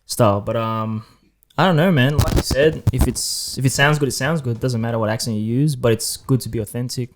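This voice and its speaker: A man who sings, speaking as a podcast guest in a quick, laid-back, hedging way. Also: medium pitch. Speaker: singer guest. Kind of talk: casual podcast chat. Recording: noisy.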